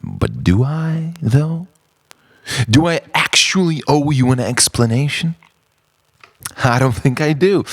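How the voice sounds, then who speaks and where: Deep-voiced man performing theatrical spoken word. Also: no room sound. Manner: theatrical, halting, swinging between hushed murmurs and loud outbursts; repeats words and phrases like a refrain. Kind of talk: spoken-word poetry monologue.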